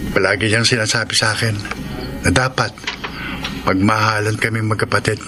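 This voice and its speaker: An actor playing a polite, hesitant young son with a Filipino accent, respectful and unsure in a radio drama. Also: male voice, lowish voice, steady tone, moderate pace, natural voice. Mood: respectful, earnest. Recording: clear background noise and ambience.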